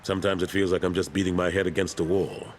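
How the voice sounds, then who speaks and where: A male actor plays a weary older officer turned statesman. His low voice is measured and sincere, a game-cinematic delivery with a clear ambient hum behind it. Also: little pitch movement, lightly acted. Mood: weary, earnest. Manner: measured, earnest, resigned. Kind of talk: video game cinematic acting.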